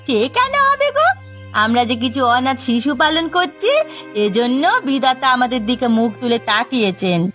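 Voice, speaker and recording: A high-pitched village wife in a cartoon drama, middle-aged and sharp-tongued. She speaks in a plaintive, scolding way over clear background music. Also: sing-song, moderate pace. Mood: hopeful.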